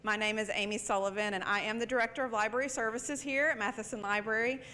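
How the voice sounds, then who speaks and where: A woman's voice: a little high, steady tone, fast talker, bright, forceful tone, a little hiss or hum. A woman, a library director, giving a welcome speech at a live campus event, friendly and speaking with many fillers. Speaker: library director introducing a panel.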